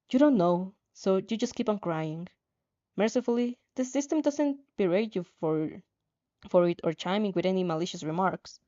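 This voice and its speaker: Woman, mellow soft-edged tone, casual read-aloud, giggly, stumbles on words, slightly dull lo-fi recording. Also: mid-range voice. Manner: giggly, stumbles over words while reading. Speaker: host reading and reacting to fan fiction.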